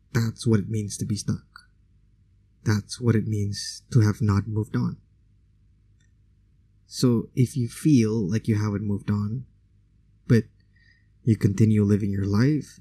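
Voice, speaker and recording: A man's voice: lowish voice, dark tone, breathy edge, dry recording. A man whose first language is Indonesian, hosting a solo podcast in a conversational, opinionated way with casual asides. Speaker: podcast host.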